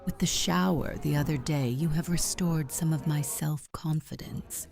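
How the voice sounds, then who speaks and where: A woman voicing a flirtatious, jealous, tender aunt in a visual novel game, speaking softly and intimately with a breathy delivery. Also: deep voice, measured pace, soft background music. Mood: tender, admiring.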